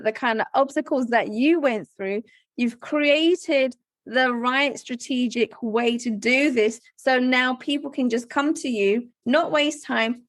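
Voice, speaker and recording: A woman hosting a business podcast, upbeat and emphatic with quick asides. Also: high-pitched, no room sound. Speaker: podcast host. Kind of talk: podcast interview.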